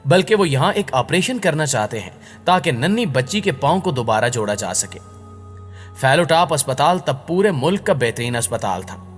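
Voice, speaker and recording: A man narrates a scripted dramatic story in a fairly high voice with very animated intonation. His voice-over carries a long reverb. He delivers it as a storyteller addressing listeners. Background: background music.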